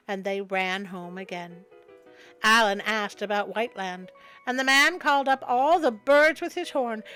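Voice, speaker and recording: A woman's voice: a little high, fairly bright tone, low-quality recording. A woman storyteller narrating a folktale expressively, with wry spoken asides. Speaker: storyteller, host.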